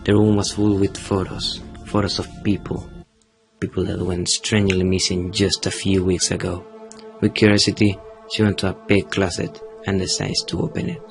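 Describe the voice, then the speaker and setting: A young man with a Chilean accent narrates a horror story in a very deep voice. His scripted delivery is dramatic and suspenseful, like a storyteller in a radio play. Music plays clearly behind him. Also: flat delivery, moderate pace. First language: Spanish. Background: prominent music.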